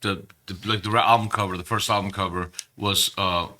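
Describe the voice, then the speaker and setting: Bright-voiced Peru-born musician telling stories. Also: male voice, low voice, a little fast, slight background noise. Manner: animated, profane, rambling storyteller. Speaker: band member. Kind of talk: casual interview storytelling.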